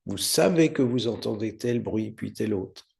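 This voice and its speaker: A man teaching guided meditation, giving unhurried, soothing step-by-step instructions with pauses. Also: fairly low pitch, expressive, gravelly, warm tone. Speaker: yoga nidra meditation teacher.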